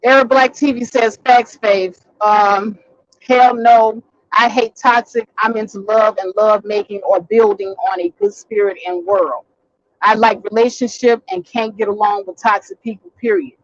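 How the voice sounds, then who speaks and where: A high-pitched woman holds forth on a live stream from behind the wheel, blunt and animated and cursing freely, her voice wearing hoarse. The sound is dry and a touch dull. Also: moderate pace, no echo. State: getting hoarse. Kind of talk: casual live stream commentary. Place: in a vehicle, driving.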